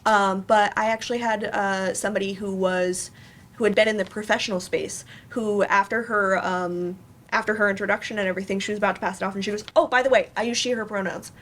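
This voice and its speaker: A woman's voice: medium pitch, steady tone, fast, fairly bright tone. A woman on a theater panel telling a personal story informally, acting out what people said as she retells it. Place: indoor library event room.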